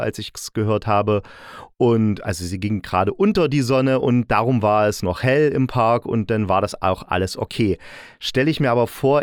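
A man's voice: fairly low pitch, no echo. Man reviewing in a dry studio sound. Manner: chatty, self-correcting. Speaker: podcast host and audio drama reviewer. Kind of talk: informal spoken review.

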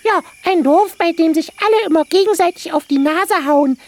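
A woman's voice: very high voice, normal speed, a little mellow. A woman voicing a small, naive male glowworm, childlike and matter-of-fact, in a children's fairy-tale audio play. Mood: cheeky.

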